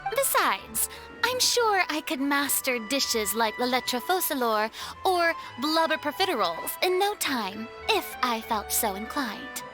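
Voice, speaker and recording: Casting: proud young retired diva for a game, high voice, haughty, theatrical, formal, over music. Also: female voice, sing-song, unhurried. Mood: boastful, defensive. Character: proud, theatrical young female retired star and former deity. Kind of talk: video game voice acting. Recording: background music under the voice.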